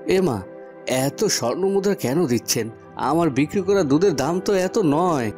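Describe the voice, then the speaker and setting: A man's voice: a little high, expressive, a little slow, a little mellow. A man voicing a good-natured, honest young village milk seller in a cartoon. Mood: surprised. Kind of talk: cartoon voice acting. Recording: music and birdsong behind the voice.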